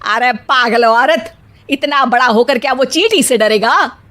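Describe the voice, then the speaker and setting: A woman's voice: sits high, unhurried, bright, forceful tone. A woman voicing a bossy, bickering mother-in-law in a cartoon. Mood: scornful. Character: bossy middle-aged mother-in-law, scared of bugs. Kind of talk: cartoon voice acting.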